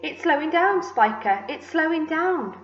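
A woman voicing a fat, greedy, excitable aunt in a children's storybook, gushing in shouted exclamations. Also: a little high, normal speed. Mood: excited. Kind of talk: children's storybook read-aloud. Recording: echoey room, slightly dull sound.

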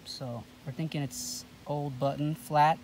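A man's voice: fairly high pitch, fairly even intonation, unhurried, a little mellow, poor audio. Male vlog host, informal and chatty with viewers, handheld phone sound with background ambience. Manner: informal, addresses viewers as guys. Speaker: metal detecting video host. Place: mostly outdoors in grass and along a river. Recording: clear background noise, outdoor ambience.

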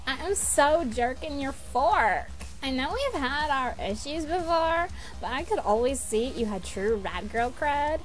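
A woman voicing an exuberant, brash young troll gamer girl who talks in drawn-out slang in an amateur cartoon fandub. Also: high-pitched, lively intonation, rough recording. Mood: gushing, excited. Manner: exuberant surfer-style slang, drawn-out exclamations. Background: music.

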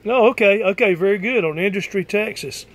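A man's voice: sits high, a little fast, fairly bright tone. A man talking casually as an amateur radio operator, asking and answering in conversational ham radio style.

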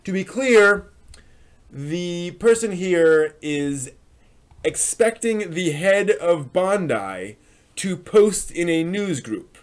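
High-pitched man, theatrical reader. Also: expressive, takes it slowly. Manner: theatrical, emphatic when reading. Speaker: host. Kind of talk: dramatic reading of old online posts with spoken asides. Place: small home room with bookshelves, indoors.

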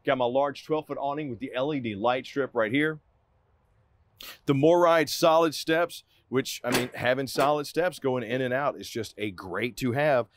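A man's voice: medium pitch, normal speed, a little hiss or hum. A man in his forties giving an upbeat, chatty walkthrough as an RV salesman, inside a trailer and then out on a dealer lot. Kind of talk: casual product walkthrough.

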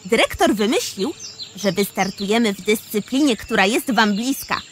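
A woman's voice: mid-range voice, expressive, moderate pace, slight background noise, close to an everyday voice. A woman playing an encouraging, friendly, teasing schoolgirl in a children's audio drama. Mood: friendly, eager.

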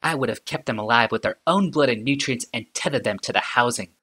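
A man voicing a sardonic female inventor in an audiobook, calm and mocking in tone. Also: medium pitch, fairly quick, pitched above the performer's own voice. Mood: musing. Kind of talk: audiobook character reading.

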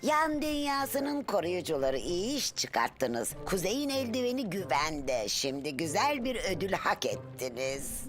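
A woman voicing a kindly, dignified, gently comic master mentor in a cartoon dub. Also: on the low side, very animated, measured pace, hardly any pauses. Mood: solemn praise. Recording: loud music behind.

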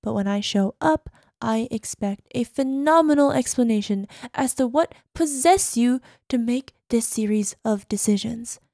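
A woman acts out an anxious, possessive girlfriend leaving voicemails in an ASMR roleplay. Her high female voice is soft-toned and mellow, recorded dry and close. Sugary pleading carries an undercurrent of controlled threat. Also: animated, measured pace, no echo. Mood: stern, exasperated. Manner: sugary pet names, hesitant pleading sliding into controlled threat. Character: anxious, clingy, quietly menacing possessive girlfriend.

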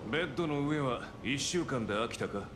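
An actor playing a stern, challenging commander and fighter in a game cutscene, curt and authoritative. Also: male voice, mid-range voice, animated, brisk, noisy background, close to an everyday voice. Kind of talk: Japanese game cutscene acting.

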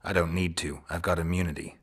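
An actor playing a gruff, stoic male monster hunter in a video game, with terse, deadpan delivery. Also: deep voice, quick pace. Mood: businesslike. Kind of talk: video game character acting. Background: music.

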